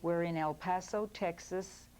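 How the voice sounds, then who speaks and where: Measured, polite woman interviewer with a warm, mellow tone. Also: fairly low pitch, steady tone, clean tone. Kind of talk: formal interview questions.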